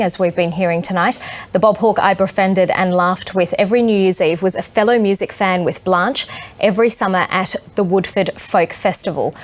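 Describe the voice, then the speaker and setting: A woman with an Australian accent presenting live rolling news as the anchor. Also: lowish voice.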